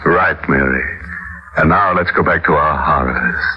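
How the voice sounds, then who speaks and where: An actor playing a sardonic, mock-genial horror host who relishes the macabre in 1940s radio style. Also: male voice, very deep voice, normal speed, noisy background. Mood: gleefully macabre. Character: ghoulish, gleefully macabre male horror-show host. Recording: dull vintage radio recording, loud music.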